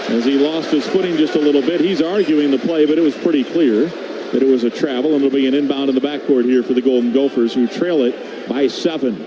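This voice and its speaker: A man's voice: medium pitch, a little fast, dull audio. A man calling live basketball play-by-play on the radio, over arena noise. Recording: noisy broadcast with arena ambience.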